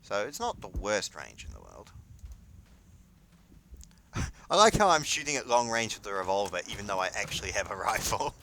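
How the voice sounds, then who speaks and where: Young kiwi guy doing gameplay chat, lowish lively voice, faint gunfire behind. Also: normal speed, long pauses, a little hiss or hum. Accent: New Zealand. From New Zealand. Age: young adult. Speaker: host of a gaming video. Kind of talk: casual gameplay commentary and chat. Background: faint game gunfire and artillery.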